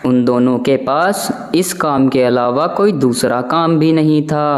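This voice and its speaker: A man narrating a cartoon story in an even, scripted storytelling manner. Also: mid-range voice, slow pace.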